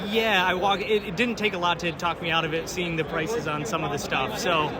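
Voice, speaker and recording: High-voiced young-sounding man amid a crowd. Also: fairly even intonation, fairly quick. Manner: short, easygoing, enthusiastic answers. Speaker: convention attendee. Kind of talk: casual interview answers. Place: busy convention floor. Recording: crowd noise on a convention floor.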